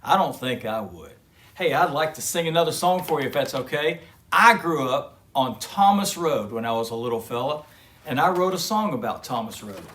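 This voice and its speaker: A man reading a fairy tale aloud to children in a gentle, friendly storybook manner, then chatting warmly to his young listeners. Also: mid-range voice, big pitch swings, normal speed. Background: guitar music.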